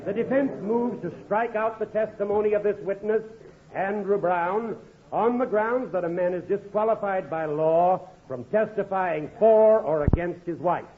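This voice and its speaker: An actor playing a polite, assured defense attorney with formal, deliberate phrasing in 1950s radio comedy. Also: male voice, high voice, lightly acted. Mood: confident. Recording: phone-like old radio recording, audience behind.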